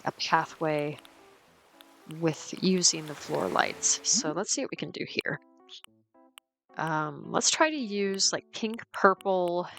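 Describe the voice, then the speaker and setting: A woman's voice: deep voice, a bit bright. A woman casually commenting on her gameplay as host, easygoing and chatty with plenty of ums, a little sniffly as she gets over a cold. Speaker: let's play host.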